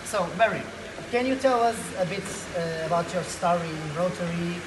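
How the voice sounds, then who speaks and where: A man's voice: sits high, normal speed. Young male interviewer in an echoey room. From Portugal. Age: young adult. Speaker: interviewer, youth club representative. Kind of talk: interview questions. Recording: echoey room with clear background noise.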